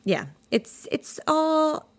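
A woman's voice: sits high, lively intonation, very slow. A young woman hosting a podcast, talking casually with her guest, swearing now and then and saying like a lot. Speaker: podcast host. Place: indoor studio in a building.

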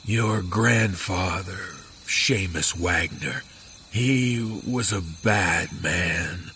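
Grim, haunted father, low and slow. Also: pushed and bright, a little hiss or hum, exaggerated voice. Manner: slow, grave, halting delivery. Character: grim, haunted father confiding a dark family secret. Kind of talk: horror audio drama acting.

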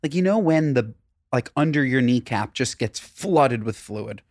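Guy cohost being all dramatic about the heat, medium pitch, dry close mic. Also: a little slow, dry recording. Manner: animated, playfully dramatic complaining. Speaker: podcast co-host. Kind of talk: casual chat.

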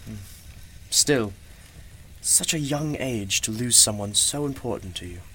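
A performer in a radio play playing a courteous, gently probing keeper of a mysterious magical shop. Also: mid-range voice, lively intonation, measured pace, slight background noise. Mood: sympathetic, curious. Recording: music playing behind the voice. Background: music.

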